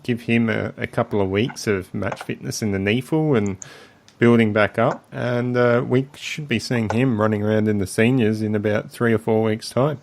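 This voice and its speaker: A lowish-voiced man with a croaky throat hosts a laid-back sports podcast. He runs through the segments and steers the talk. He fills his sentences with relaxed ums. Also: normal speed. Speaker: podcast host. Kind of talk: casual sports podcast chat.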